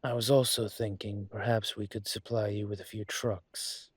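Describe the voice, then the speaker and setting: A man voicing a calm, courteous dragon mafia boss in a roleplay audio, speaking in a husky, measured, polite way. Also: fairly low pitch, no room sound. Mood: calm, assured. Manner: measured, polite, deliberate with pauses. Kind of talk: scripted roleplay audio monologue to listener.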